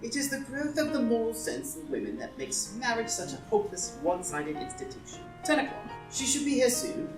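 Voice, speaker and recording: A woman's voice: on the high side, sing-song, fairly quick, hardly any pauses. A woman playing a foppish, idle, witty young lord in a comedy play reading, languid and arch. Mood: musing. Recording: roomy live reading, distant mic, music.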